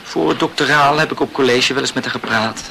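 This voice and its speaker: A man's voice: mid-range voice, fast talker, gravelly, sharp tone, a little hiss or hum, poor audio. A man playing a chatty, opinionated male student in a radio play, blunt and gossipy, swearing mildly. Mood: gossipy, indignant. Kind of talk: conversational radio drama acting.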